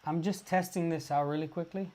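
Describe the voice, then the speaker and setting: A man from Los Angeles, chatting casually to viewers on a livestream and pausing often with fillers. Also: a little high, fairly even intonation, a little mellow. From Los Angeles, California. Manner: halting, restarts often with many "uh" fillers. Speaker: livestream host.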